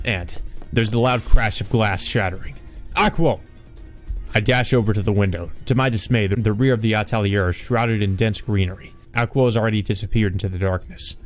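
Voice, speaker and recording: A man's voice: lowish voice, steady tone, fairly quick, soft background music. A man reading a visual novel aloud as a dramatic narrator for a let's play.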